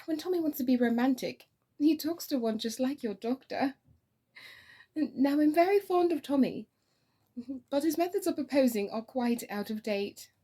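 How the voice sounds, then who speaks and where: A woman's voice: high-pitched, expressive, moderate pace, warm tone, pitched above the performer's own voice. Woman acting flighty, affected society young lady. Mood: playful, indignant. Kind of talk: theatrical comedy of manners.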